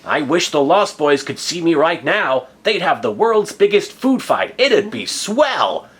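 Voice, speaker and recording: A man plays an impish young boy adventurer from a comic story. He speaks a little high, in an earnest, polite way, patiently explaining himself. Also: sing-song, measured pace, fairly bright tone, slightly rough, heavily acted. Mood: excited, wholesome. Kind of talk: comedic fan fiction reading.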